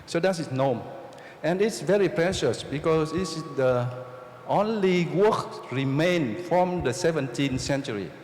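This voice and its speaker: A man's voice: on the high side, lively intonation, unhurried, few pauses, darkish tone. Vietnamese-accented man lecturing in an echoey hall. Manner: simple, repetitive phrasing that checks in with the audience. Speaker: Jesuit priest lecturer. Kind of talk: theology lecture to an audience. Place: oratory hall with a live audience. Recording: roomy hall reverb, faint noise.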